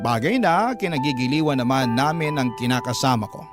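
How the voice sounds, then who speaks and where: A man's voice: medium pitch, lively intonation, brisk, lightly acted. Actor as an earnest young man over guitar music. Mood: earnest, reflective. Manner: sincere, confiding. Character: earnest young man confiding his life story. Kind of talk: scripted dramatic narration, Filipino radio drama.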